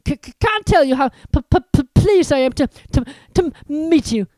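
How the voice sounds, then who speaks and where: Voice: high-pitched, expressive, darkish tone, no echo, clean studio sound, pitched above the performer's own voice. A reader voicing a pale, nervous young male professor who stammers over nearly every word. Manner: stammering, halting, jittery.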